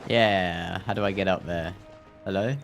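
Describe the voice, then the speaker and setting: A man's voice: sits low, steady tone, a little slow, vocal fry, soft background music. A man live streaming a game, playfully exclaiming and reading dialogue aloud.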